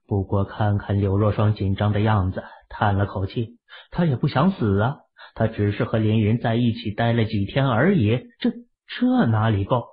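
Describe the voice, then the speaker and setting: A man narrates an audio drama with steady storytelling pacing. His voice is low-pitched, husky and breathy. The recording sounds muffled and lo-fi. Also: moderate pace.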